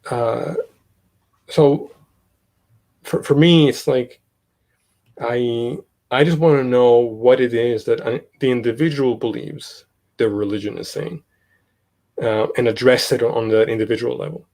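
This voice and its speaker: A young man from Italy, talking casually as an interview guest, halting with many fillers and restarts. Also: mid-range voice, steady tone, gravelly, a little mellow. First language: Italian. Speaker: guest, podcast host.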